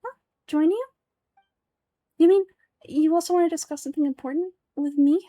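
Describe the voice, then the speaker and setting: Woman acting young female game dancer, girlish, high, eager, earnest, sweet, music underneath. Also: moderate pace, warm tone, no echo, close to an everyday voice. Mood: surprised, delighted.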